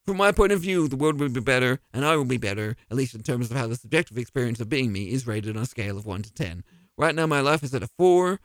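A man's voice: mid-range voice, animated, fast, no echo, clean studio sound. A man hosting a comedy podcast, delivering a scripted, mock-earnest monologue that rambles and keeps questioning itself. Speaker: podcast host playing the DM.

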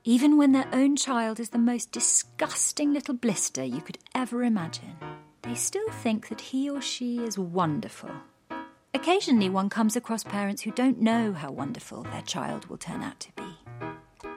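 A woman giving scripted documentary narration in a measured, gently wry storytelling manner. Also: a little high, lively intonation, soft background music.

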